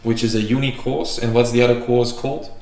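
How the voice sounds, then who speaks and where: A man's voice: mid-range voice, steady tone, a little fast, darkish tone. Man in his thirties, echoey room. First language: Macedonian. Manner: thinks aloud with many fillers and restarts. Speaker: coffee channel host. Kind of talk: casual livestream chat. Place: room in a home.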